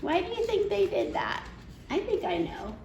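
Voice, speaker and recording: A woman's voice: extremely high pitch, wildly swooping pitch, moderate pace, a little mellow. A woman reading a picture book aloud to children as storytime host, dramatic and full of questions and chatty asides to her listeners. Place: indoor room at home. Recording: roomy echo, faint background noise.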